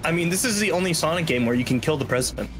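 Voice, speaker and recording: Higher-voiced young man, casual gameplay commentary. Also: expressive, rapid-fire, noisy background. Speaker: speedrunner. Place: indoor room at home, webcam stream. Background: video game music and vehicle-like game sounds.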